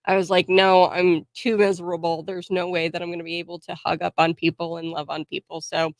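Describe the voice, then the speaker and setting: A woman's voice: fairly low pitch, little pitch movement, a little fast, dry recording. A woman hosting a morning radio show in a casual, rambling way while sick, her voice scratchy. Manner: chatty, rambling with fillers and small laughs.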